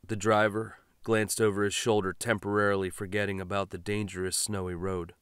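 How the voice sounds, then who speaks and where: Smooth, low-voiced male narrator. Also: flat delivery, brisk, a bit bright, no echo, clean studio sound. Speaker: audiobook narrator. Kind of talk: scripted fiction narration.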